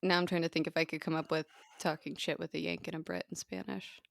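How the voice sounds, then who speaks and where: A woman co-hosting a podcast in casual chat, talking into a microphone, chatty and quick to laugh, often trailing off mid-thought. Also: low-pitched, level tone, creaky, no echo, clean studio sound. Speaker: podcast co-host.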